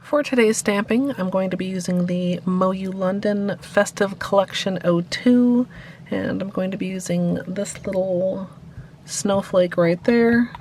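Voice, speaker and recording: Woman talking thru her nail art steps, calm and even, light hiss behind. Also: mid-range voice, measured pace. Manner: calm step-by-step instructions. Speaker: nail art tutorial host. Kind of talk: voice-over tutorial narration.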